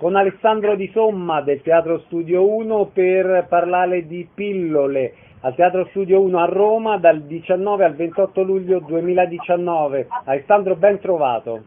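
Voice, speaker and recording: Casting: male radio host, high voice, introducing an interview, narrow phone-like band. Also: moderate pace. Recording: thin phone-like sound, faint music.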